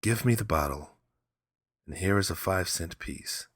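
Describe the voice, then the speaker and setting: A man's voice: low voice, measured pace, no echo, clean studio sound. A man voicing a brooding, determined man who speaks in an earnest, plain, insistent way. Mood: troubled concern. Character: brooding, determined Hawaiian man seeking a bottle.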